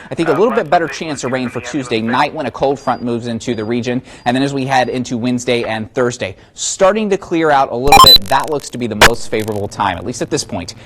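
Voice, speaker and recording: A man presenting a broadcast weather forecast on television, heard through a noisy capture. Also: mid-range voice.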